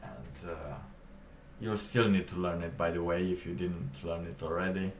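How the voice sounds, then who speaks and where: A man live streaming, chatting casually in a halting, rambling way with lots of fillers. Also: low-pitched, fairly even intonation, slight background noise. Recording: roomy, distant mic with faint ambience.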